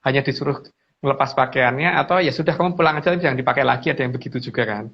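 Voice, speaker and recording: A man explaining things casually as a guest, haltingly and with repeated phrases. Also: mid-range voice, creaky, husky. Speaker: guest speaker on mystical lore. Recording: dull lo-fi audio.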